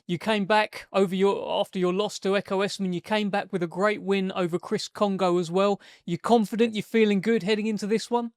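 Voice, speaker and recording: Guy hosting a sports promo interview, pretty high voice, really dry sound. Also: fairly even intonation, a little fast, hardly any pauses, dry recording. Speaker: host and interviewer.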